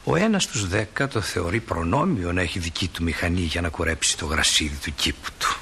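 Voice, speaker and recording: A man playing a genial stage manager who narrates small-town life in a radio play like an unhurried storyteller. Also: sits low, lively intonation, pushed and bright, slight background noise, full character voice. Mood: calm, wry.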